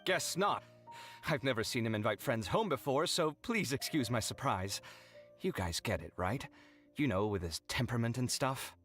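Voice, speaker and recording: A man voicing a proud young architect who gets flustered and embarrassed fast, exclaiming and protesting. His voice jumps up and down a lot, with soft game music behind him. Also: mid-range voice, lively intonation, close to an everyday voice. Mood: sulky. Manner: fretful, exclaiming, quick to protest. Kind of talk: video game voice acting.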